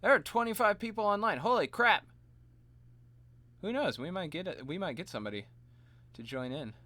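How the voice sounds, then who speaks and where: A man streaming live, chatting casually and laughing at himself, his voice slightly gravelly and tired. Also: sits high, expressive, normal speed, sharp tone. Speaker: streamer and voice actor.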